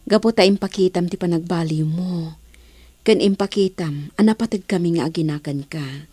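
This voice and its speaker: Mellow, breathy, gentle mother. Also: fairly low pitch, moderate pace, no room sound. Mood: tender. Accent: Ilocano. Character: gentle, reserved mother of a young child. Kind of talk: Ilocano radio drama acting. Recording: music behind the voice.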